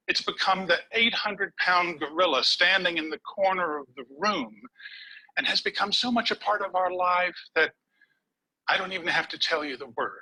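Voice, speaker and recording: A man giving a prepared contest speech over a webcam, with dramatic pauses and words dropped to a whisper for emphasis. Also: on the high side, sharp tone, husky, low-quality recording. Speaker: contest speaker. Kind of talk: prepared speech delivered online.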